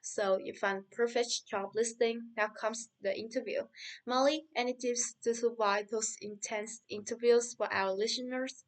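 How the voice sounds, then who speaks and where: A man's voice: very high-pitched, fairly even intonation, a little slow, poor audio. A man playing a friendly, upbeat podcast host in a scripted interview for English learners. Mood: cheerful.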